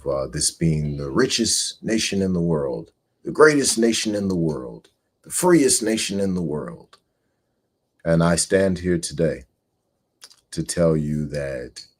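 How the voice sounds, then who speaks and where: A man in his forties with a deep, low-set voice that carries a grainy, husky edge. He is a host who reads a book aloud in a measured, earnest way. He also shares his own thoughts on the reading. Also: darkish tone. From United States. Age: 40s.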